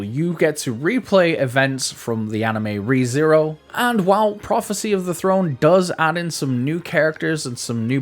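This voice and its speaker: A man hosting a game review, reading narration with casual, informal asides and the odd repeated word. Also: a little high. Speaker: video game reviewer and channel host.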